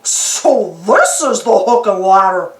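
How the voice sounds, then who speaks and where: A woman's voice: mid-range voice, lively intonation, slow, echoey room, pitched below the performer's own voice, rough recording. A woman voicing a goofy, boastful but good-hearted male cartoon dog, proud and eager. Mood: friendly, eager.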